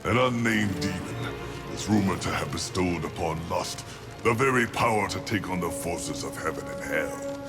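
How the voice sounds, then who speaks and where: Very deep, grandiose angel commander. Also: male voice, moderate pace. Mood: solemn, bargaining. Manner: theatrical, solemn, formal. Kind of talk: video game voice acting. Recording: music behind.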